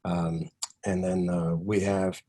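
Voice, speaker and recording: A man hosting an online workshop session over a video call, reading out comments in a halting way with many ums and uhs. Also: deep voice, flat delivery, mellow, slightly rough. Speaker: session host.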